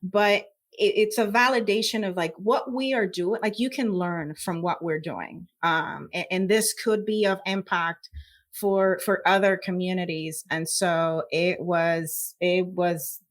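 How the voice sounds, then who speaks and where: A woman's voice: fairly low pitch, unhurried. Emphatic Puerto Rican woman in conversation. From Puerto Rico. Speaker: podcast guest, scientist. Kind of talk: conversational interview answers.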